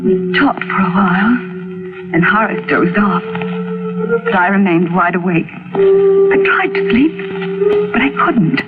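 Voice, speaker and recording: An actress playing a vain, anxious middle-aged wife in an old-time radio mystery, speaking in a dramatic, confiding way. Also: female voice, medium pitch, animated, unhurried, long pauses, natural voice. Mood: uneasy. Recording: tinny vintage radio recording with music.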